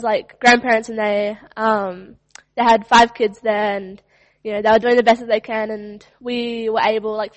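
A young woman from Western Australia gives an earnest testimony in a slightly high, flat voice. She talks in loose runs, leaning on "like" between thoughts. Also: low-quality recording. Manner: earnest, fills with "like". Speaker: student sharing a testimony. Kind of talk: personal testimony.

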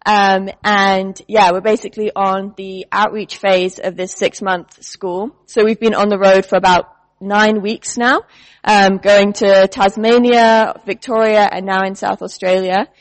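A woman leading an outreach team, speaking casually to a church congregation with many pauses and fillers. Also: medium pitch, no room sound, low-quality recording. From United Kingdom. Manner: relaxed, hesitant with many fillers.

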